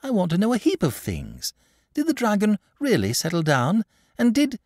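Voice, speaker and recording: A man's voice: on the high side, moderate pace, breathy edge, no echo, clean studio sound, pitched above the performer's own voice, heavily acted. A man voicing an inquisitive little girl in a storybook, eager and questioning. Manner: excited, questioning. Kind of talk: storybook character voice.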